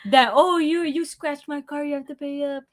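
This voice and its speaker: A woman co-hosting a casual chat, telling things informally and haltingly, often repeating her words. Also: sits high, fairly even intonation. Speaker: podcast co-host.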